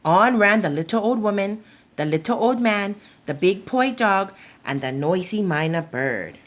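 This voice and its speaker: A woman reads aloud to preschoolers from a picture book. She takes her time, shaping each phrase with big, animated swings of pitch in an expressive storyteller's manner. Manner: expressive, deliberate storytelling for young children.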